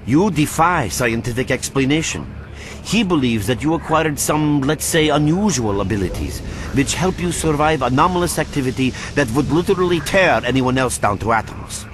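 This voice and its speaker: An actor playing a thoughtful male scientist who explains things in a measured, scholarly way in a video game. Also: fairly high pitch, fairly bright tone, slight background noise. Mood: wry, explaining. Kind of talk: scripted video game dialogue.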